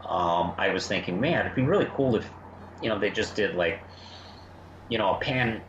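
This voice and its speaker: A man with a low voice talks casually as a podcast co-host. He rambles, dropping in frequent asides as he goes. The recording is echoey and slightly dull, with a little noise behind him. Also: lively intonation, moderate pace, a bit bright. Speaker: podcast co-host reviewing a fan audio drama. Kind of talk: casual review chat. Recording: echoey, muffled, faintly noisy room.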